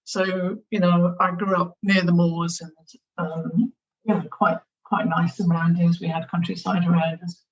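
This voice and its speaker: A woman with a Northern English accent and a fairly low voice answers interview questions. She speaks thoughtfully, pausing on fillers and restarting her sentences. The sound is dull and lo-fi, like a remote call. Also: flat delivery. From England, near Manchester. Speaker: guest. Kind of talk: conversational interview.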